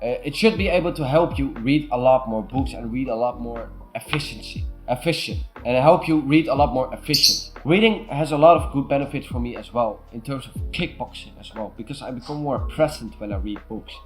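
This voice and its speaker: A man's voice: medium pitch, brisk, hardly any pauses, soft background music. A young man from the Netherlands with a Dutch accent, earnestly giving casual self-improvement advice to camera. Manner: earnest, repeats "super" and "way better".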